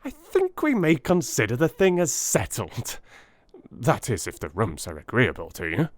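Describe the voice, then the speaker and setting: A man playing an eager, eccentric gentleman detective in a theatrical audiobook, speaking frankly in brisk, changeable, gentlemanly phrases. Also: mid-range voice, wildly swooping pitch, no room sound, pitched above the performer's own voice. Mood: merry, brisk. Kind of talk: theatrical audiobook character acting.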